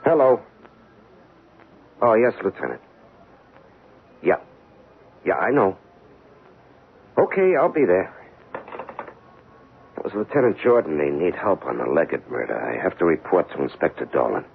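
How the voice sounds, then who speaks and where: An actor playing a weary, skeptical American police detective husband in a radio drama, talking plainly and emphatically. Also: male voice, deep voice, sing-song, a little slow, vocal fry, long pauses, a little hiss or hum, heavily acted. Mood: tired. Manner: colloquial, emphatic, matter-of-fact. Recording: dull lo-fi 1970s radio recording.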